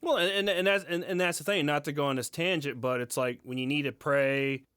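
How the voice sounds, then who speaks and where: Smooth-voiced easygoing man on a podcast. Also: a little high, a bit bright, no room sound, studio quality. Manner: easygoing, agreeable, quick to laugh. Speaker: podcast co-host. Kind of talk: casual chat.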